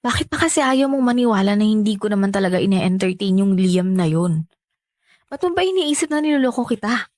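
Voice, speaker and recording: A woman's voice: mid-range voice, lively intonation, a little fast, a little mellow, dry recording, clean studio sound, close to an everyday voice. A woman playing a defensive, easily exasperated young Filipina girlfriend in a radio drama, emotional and protesting in a conversational way. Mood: hushed, wounded.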